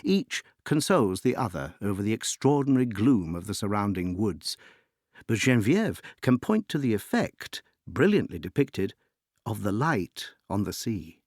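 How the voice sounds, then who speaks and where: A man reading scripted narration that introduces an opera, in an expressive, literary storytelling manner. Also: on the low side, moderate pace, no echo, studio quality.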